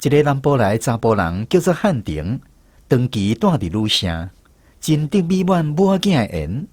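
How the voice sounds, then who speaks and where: A man's voice: medium pitch, big pitch swings, normal speed, a little mellow, no echo. A man with a Taiwanese accent narrating a radio drama as a scripted storyteller.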